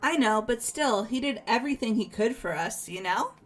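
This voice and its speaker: Woman as a sincere, higher-voiced teenage girl. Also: animated, moderate pace, soft background music. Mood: sympathetic. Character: kind-hearted, simple, friendly teenage girl. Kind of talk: amateur fan voice acting of a game.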